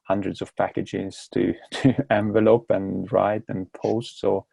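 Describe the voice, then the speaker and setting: A man's voice: low-pitched, flat delivery, a little slow, gravelly, dark tone, breathy edge, dry recording, soft background music. A man in his thirties from Hungary with a Hungarian accent, speaking English as a second language and telling his story as a podcast guest, often repeating words as he searches for them. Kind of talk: casual interview talk.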